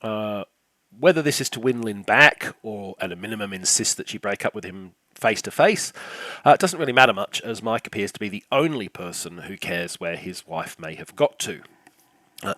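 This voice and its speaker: Older guy reviewing a movie, lowish voice, kinda croaky, dry and sarcastic, lots of uhs, dead dry sound. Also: animated, moderate pace, sharp tone, no echo. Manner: dry sarcastic delivery with frequent "uh" fillers. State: slightly croaky from illness. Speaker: film reviewer host. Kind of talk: scripted review narration.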